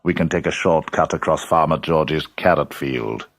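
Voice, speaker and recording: A man's voice: rumbling low, normal speed, no echo, voice pushed down. A man voicing a big, put-upon bear who grumbles and complains in a children's storybook. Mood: grumbling.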